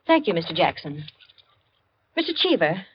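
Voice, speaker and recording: An actress playing an imperious, steely society hostess in a vintage radio thriller, giving deliberate, clipped orders. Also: female voice, lowish voice, big pitch swings, a little hiss or hum. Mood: controlled command. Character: imperious, steely society hostess demanding her stolen ring. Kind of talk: 1940s radio thriller acting. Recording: dull vintage radio recording.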